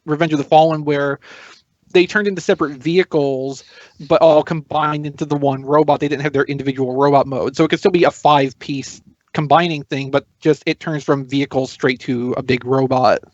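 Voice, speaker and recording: A man's voice: a little high, fairly even intonation, a little fast, no echo, highs cut off. A man chatting casually as a podcast panelist, explaining and thinking aloud as he goes. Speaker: toy news podcast panelist.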